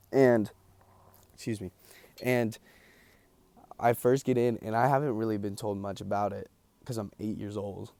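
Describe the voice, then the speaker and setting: A man's voice: on the low side, steady tone, measured pace, pauses often, dry recording. A young man chatting casually to camera, tired and losing his voice, rambling with lots of ums and likes. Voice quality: gravelly. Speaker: vlogger. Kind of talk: casual chat.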